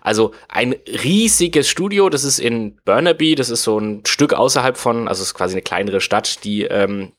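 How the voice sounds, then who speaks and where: A man's voice: medium pitch, animated, moderate pace, hardly any pauses. A man, explaining at length in a casual podcast chat, full of fillers and hedges. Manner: rambling, many fillers and hedges. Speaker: guest explaining game industry history.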